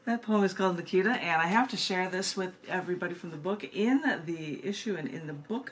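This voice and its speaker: A woman with an American accent, a poet presenting and reading her own work live, emphatic and informal by turns. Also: on the low side. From United States. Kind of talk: live poetry reading with informal spoken introductions. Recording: noticeable background noise and room ambience.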